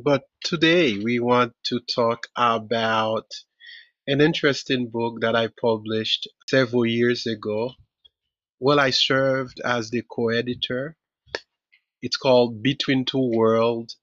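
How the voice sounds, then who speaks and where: A middle-aged man from Haiti with a Haitian accent, a professor answering interview questions in a measured way, often restarting phrases. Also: medium pitch, a bit bright, no room sound. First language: Haitian Creole. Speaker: professor and author. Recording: dull, muffled audio.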